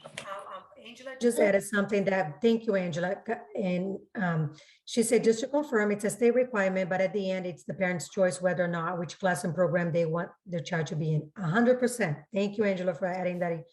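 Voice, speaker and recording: A woman working as a school registrar gives informal spoken explanations to parents, often repeating and rephrasing to be clear. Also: lowish voice, a little fast, darkish tone.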